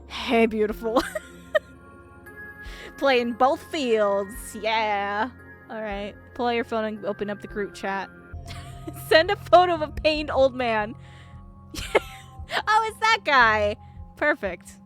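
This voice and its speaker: A woman's voice: a little high, slow, pauses often, faint music behind. A woman streaming a game with playful, giggly commentary, reading the on-screen text aloud. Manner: giggly, excitable. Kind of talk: playful gaming commentary with read-aloud dialogue.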